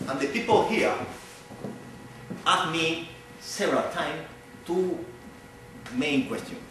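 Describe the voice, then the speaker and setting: A man's voice: fairly high pitch, expressive, slow pace, noisy background. A middle-aged man from Cuba with a Cuban Spanish accent, a native Spanish speaker giving a public lecture earnestly, often repeating phrases as he searches for words. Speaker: visiting speaker. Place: large echoey hall. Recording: echoey hall from far off.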